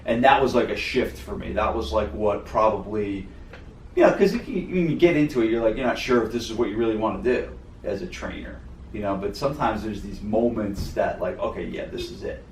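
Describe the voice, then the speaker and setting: A man with a lowish voice talks through his story in a casual podcast, earnest and meandering, full of "like" and "you know." He sits back from the mic in a roomy studio with a faint hiss behind him. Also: fairly quick, vocal fry, recorded from a distance. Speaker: gym owner and co-host.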